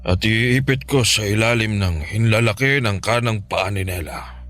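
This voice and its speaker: An actor with a Filipino accent playing a grave older village folk healer in a radio drama, speaking slowly and ponderingly with thoughtful hums. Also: male voice, fairly low pitch, a bit bright. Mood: grave, ominous.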